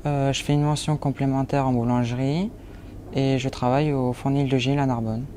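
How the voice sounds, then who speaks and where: A man's voice: mid-range voice, little pitch movement, brisk, warm tone, a little hiss or hum. A nineteen-year-old man, an apprentice baker, describing his training and goals with frequent hesitations. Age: young adult. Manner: hesitant, many fillers. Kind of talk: interview portrait.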